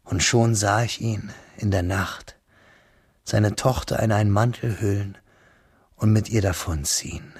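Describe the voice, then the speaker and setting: An actor playing a wistful, pensive young man who narrates his memories in a measured, reflective way for a literary radio drama. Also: fairly low pitch, fairly even intonation, breathy edge, dry recording, clean studio sound. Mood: bittersweet.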